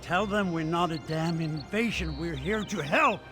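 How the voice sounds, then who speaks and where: A man voicing a proud, weary king in a video game, talking bluntly and gruffly over game music. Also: a little high, measured pace, natural voice. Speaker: visiting king.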